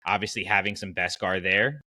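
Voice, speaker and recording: A man with a fairly low but bright, forward voice, recorded close and dry. He hosts a casual fan podcast and explains his thinking conversationally, wandering into asides. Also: a little fast, no echo. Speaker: podcast host.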